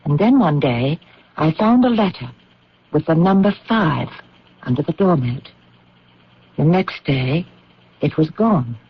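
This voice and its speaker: An actress playing an anxious, grieving widow in a radio drama, speaking haltingly and earnestly. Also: female voice, low-pitched, animated, slightly rough, full character voice. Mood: pained, halting. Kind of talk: old-time radio drama acting. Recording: muffled radio drama recording.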